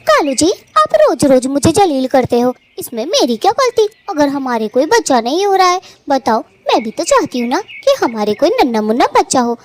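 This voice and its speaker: A woman's voice: sits high, very animated, normal speed, hardly any pauses. A woman voicing a patient young wife in a cartoon, pleading and eager to please. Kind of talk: Hindi cartoon voice acting.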